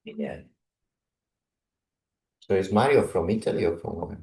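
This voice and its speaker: A man's voice: deep voice, animated, unhurried, gravelly, darkish tone. A Brazilian-born man chatting casually with a congregation before his sermon, laughing often and tagging "you know" and "right?" onto his sentences. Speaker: visiting preacher. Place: church hall. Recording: echoey hall, mic from a distance.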